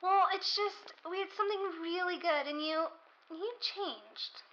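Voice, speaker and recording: A woman playing a coquettish, needy glamour girl in a 1930s radio mystery, coy and pleading with drawn-out words. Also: very high voice, a bit bright, highs cut off. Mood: wounded, pleading.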